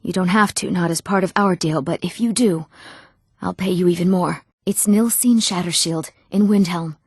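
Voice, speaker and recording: Husky young fantasy game heroine. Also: female voice, fairly low pitch, moderate pace, no room sound, clean studio sound. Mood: cold, determined. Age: young adult. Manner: emotive, dramatic delivery. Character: eager, wronged young woman of a fantasy world. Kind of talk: video game character voice lines.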